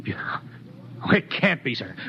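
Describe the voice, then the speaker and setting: A man with an American accent playing an earnest, excitable young spaceship lieutenant, stammering eagerly in 1950s radio drama style. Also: medium pitch, a little slow, husky, whispered, exaggerated voice. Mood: excited, wondering. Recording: muffled, faintly noisy 1950s radio recording.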